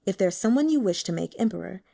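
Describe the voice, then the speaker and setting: A woman voicing a calm, shrewd, frail young male strategist who speaks in a measured, guarded way. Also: mid-range voice, gravelly.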